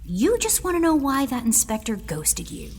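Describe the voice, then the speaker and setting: A wary, teasing sidekick in a fantasy audio drama. The voice is extremely high and animated, blunt and excitable, with some reverb around it. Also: female voice, normal speed, slight background noise, heavily acted. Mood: urgent teasing.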